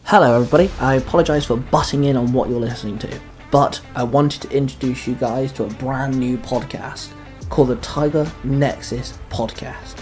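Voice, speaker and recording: A man's voice: medium pitch, normal speed. A man speaking casually as a podcast host, making a promotional announcement. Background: music.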